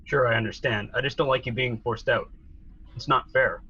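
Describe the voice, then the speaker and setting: A man playing a candid, loyal engineer friend in a table read, casual, with a husky voice on slightly dull audio. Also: medium pitch, steady tone, normal speed, sharp tone. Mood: hurt, downcast. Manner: casual, plain-spoken.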